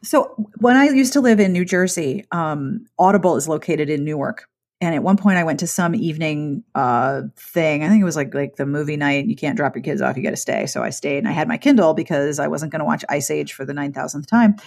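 A woman's voice: low voice, brisk, creaky, a little mellow. A woman hosting a podcast interview in a talkative, thoughtful, casual way. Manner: thoughtful, talkative, frequent restarts. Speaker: podcast host.

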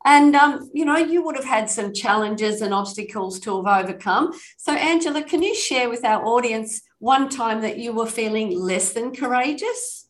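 A woman's voice: a little high, lively intonation, normal speed, hardly any pauses. Enthusiastic woman podcast host. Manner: enthusiastic, effusive. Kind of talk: podcast interview.

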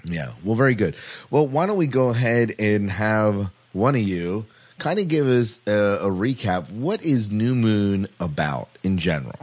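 Low-voiced man hosting casual podcast banter. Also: animated, a little slow, hardly any pauses, breathy edge. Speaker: podcast host.

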